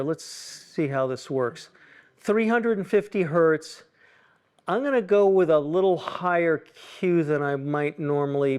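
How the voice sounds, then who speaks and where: Higher-pitched man thinking out loud. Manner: halting, self-questioning, explanatory asides. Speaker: acoustics consultant leading a tuning workshop. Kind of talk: informal live technical demonstration, thinking out loud.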